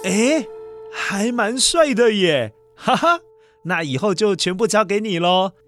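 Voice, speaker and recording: Man acting playful high-voiced Taiwanese storyteller uncle. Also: expressive, measured pace, a bit bright, soft background music. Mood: cheerful. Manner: playful, theatrical. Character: cheerful theatrical story-bus uncle, secretly a space captain. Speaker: children's story show host. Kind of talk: children's radio play acting.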